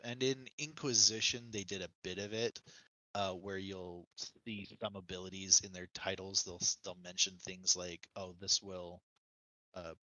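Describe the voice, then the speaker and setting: Lowish, very bright-voiced man chatting about games. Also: no room sound, poor audio. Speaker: podcast co-host or guest. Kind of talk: casual gaming podcast discussion.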